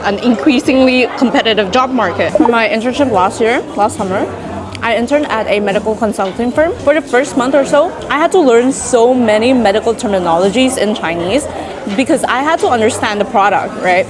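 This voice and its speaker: A woman's voice: fairly high pitch, a crowd in the background. A young woman in her twenties from Indonesia, chatting casually in a Q&A vlog, full of "like"s and asides. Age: 20s. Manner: chatty, many "like"s, quick asides. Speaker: vlogger. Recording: music playing in the background.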